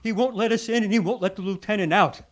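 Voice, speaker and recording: An actor playing an agitated, none-too-bright police sergeant in an old-time radio drama, with exasperated, slangy tough-cop talk. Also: male voice, high voice, fast talker, close to an everyday voice. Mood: urgent, flustered. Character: agitated, dim, gruff-talking male police sergeant.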